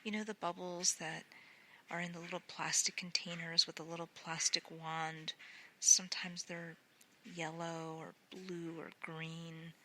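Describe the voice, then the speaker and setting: A woman guiding a sleep meditation in a gentle, soothing, unhurried way, often softening into breathy near-whispers. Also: fairly low pitch, little pitch movement, slight background noise, rough recording. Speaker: meditation guide.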